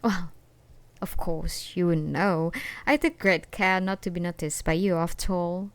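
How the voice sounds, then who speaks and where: A woman playing an obsessed, unhinged ex-girlfriend in an ASMR roleplay, taunting and possessive in a sweetly menacing, giggly way with drawn-out words. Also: on the low side, clean tone, no echo. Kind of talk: ASMR roleplay acting, one side of a conversation.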